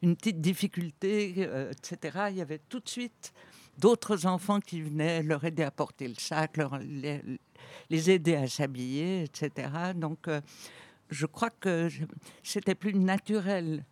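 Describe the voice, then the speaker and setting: A woman's voice: deep voice, unhurried, darkish tone, dry recording. A woman of eighty, a guest on a radio interview, recalling her career thoughtfully with frequent hesitations and restarts. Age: 80s.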